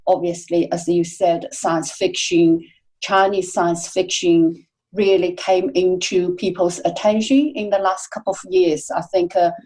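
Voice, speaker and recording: A woman with a warm, mellow and slightly low voice answers interview questions as a literary guest. She pauses often, filling the gaps with uh and you know as she works out her thoughts. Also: poor audio. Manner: hesitant, many "uh" and "you know" fillers. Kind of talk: casual interview chat.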